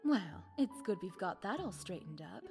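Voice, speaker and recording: A woman's voice: mid-range voice, very animated, normal speed. A woman playing a sly, worldly society widow in a visual novel, with arch and knowing phrasing. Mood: probing, polite. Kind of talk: voiced visual novel dialogue. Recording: music behind the voice. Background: game music.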